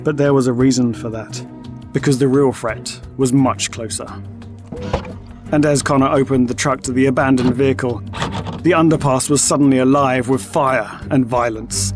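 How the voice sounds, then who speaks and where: A man's voice: mid-range voice, fairly even intonation, moderate pace, over music. A man reading scripted narration for an audio drama.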